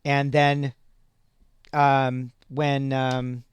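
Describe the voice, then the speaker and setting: A man's voice: medium pitch, completely flat delivery, very slow, smooth, no echo. A man hosting a casual interview, asking questions and giving brief affirmations. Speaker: stream host and voice actor.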